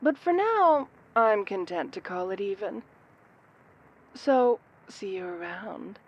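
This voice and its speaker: Woman acting slow-talking, flirty supervillainess. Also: mid-range voice, big pitch swings, dull audio. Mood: teasing menace. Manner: teasing, sardonic, drawn-out phrasing with amused asides. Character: flirty, playful, dangerous female supervillain cat burglar. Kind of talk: scripted audio roleplay acting addressed to the listener.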